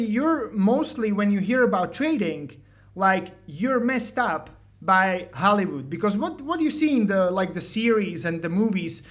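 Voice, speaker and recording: A man with a Slovak accent walking through trading charts in a casual, joking way, mocking himself and filling his talk with "like" and "you know". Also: high voice. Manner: joking, self-mocking, filler-heavy. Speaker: trading educator. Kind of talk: casual screen-share walkthrough.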